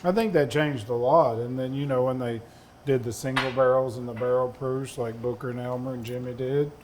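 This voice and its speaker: Southern man in panel chat, easygoing stories at a medium pitch, faint hum behind. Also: steady tone, a little fast. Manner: folksy, rambling anecdotes. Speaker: distiller on a panel. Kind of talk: casual storytelling on a panel.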